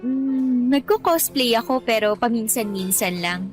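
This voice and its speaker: A woman's voice: on the high side, measured pace, lightly acted. Woman acting a friendly young Filipina in radio drama. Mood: playful. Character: friendly, candid young woman on a first date. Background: music.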